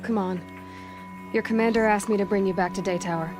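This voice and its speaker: A woman's voice: mid-range voice, steady tone, fairly quick, natural voice. A woman playing a determined, blunt young outlander huntress in a video game, speaking curtly and directly. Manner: curt, direct. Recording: music behind the voice.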